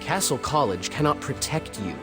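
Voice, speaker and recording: A man's voice: medium pitch, animated, moderate pace, over loud music, close to an everyday voice. A man voicing a skeptical, blunt team member in a dramatic video game.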